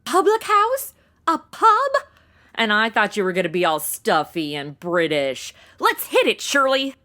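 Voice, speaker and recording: A woman's voice: mid-range voice, huge pitch swings, measured pace, bright, forceful tone, exaggerated voice. A woman playing a brash, sarcastic modern young woman in a comedy audio drama, full of slang and exclamations. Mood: teasing, excited. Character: sassy modern young woman, snarky, brash amateur sleuth.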